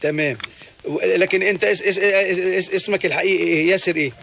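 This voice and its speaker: A man hosting a radio show, interviewing callers by phone in quick, restless talk full of fillers and restarts. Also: sits high, vocal fry, soft background music. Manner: fast, animated, many fillers and restarts. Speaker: radio host.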